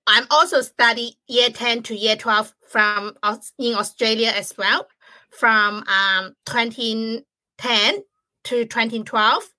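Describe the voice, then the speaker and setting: A young woman from China talks in English with a clear Chinese accent, her first language being Chinese. Her voice sits somewhat high and sounds bright, recorded dry and close. She speaks haltingly, with small grammar slips. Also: fairly even intonation, no room sound. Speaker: guest. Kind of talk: podcast interview.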